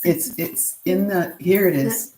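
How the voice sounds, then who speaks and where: A middle-aged woman with a low voice, chatting casually and laughing often as she answers viewers' questions on a live stream. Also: takes it slowly, poor audio. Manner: relaxed, laughs often, thinks aloud while working. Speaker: potter and channel host.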